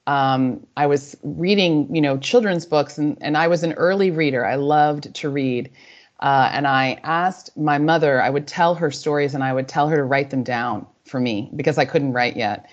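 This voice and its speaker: A woman in her forties, a native Spanish speaker, telling a guest's story reflectively and warmly, often restarting her sentences. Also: low-pitched. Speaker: author and guest. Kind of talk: interview answers. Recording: slightly dull lo-fi audio.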